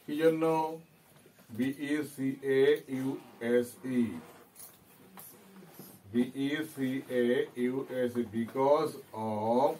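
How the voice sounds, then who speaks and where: A man with a Bengali accent teaching in a deliberate, dictation-like style, repeating phrases and checking that students follow. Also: medium pitch, warm tone, a little hiss or hum. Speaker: English teacher. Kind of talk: dictation-style lesson, spelling out and translating phrases. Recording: distant microphone.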